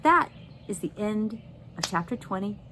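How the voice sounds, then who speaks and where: Lady reading a kids novel out loud, expressive, medium pitch, background noise. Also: moderate pace. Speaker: teacher reading aloud. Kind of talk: expressive children's novel narration.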